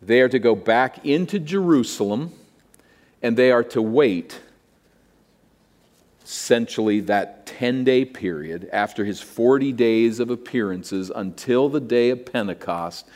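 Male preacher, mid-pitched voice, deliberate expository delivery, long hall reverb. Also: darkish tone. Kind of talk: expository sermon.

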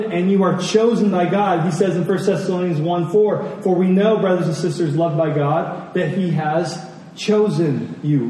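A man preaching a sermon as a pastor, repeating key phrases deliberately for emphasis and posing rhetorical questions. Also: high-pitched, warm tone. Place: large reverberant room, church service. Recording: very echoey hall, distant mic, faint noise.

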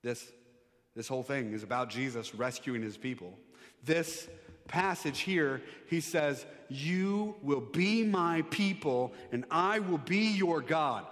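Pastor guy preaching, gets loud and worked up on the big points, long echo off the hall. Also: a little high, slow. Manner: animated preaching, rising and louder on emphatic points; sings hymn lines softly. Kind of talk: sermon. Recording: long reverb of a large hall.

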